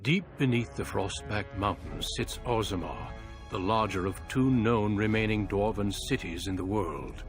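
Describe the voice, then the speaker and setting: An actor playing a solemn warrior who narrates a fantasy game with a grave, measured, portentous delivery. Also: male voice, lowish voice, close to an everyday voice. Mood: measured, stately. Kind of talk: scripted cinematic game narration. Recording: loud music under the voice. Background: loud music.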